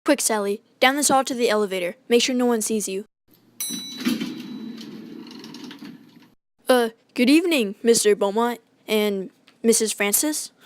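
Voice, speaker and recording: A woman's voice: a little high, fairly quick, no echo, close to an everyday voice. A woman playing a kind, eager young bellhop lad in a radio drama, friendly and a little hesitant. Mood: nervous.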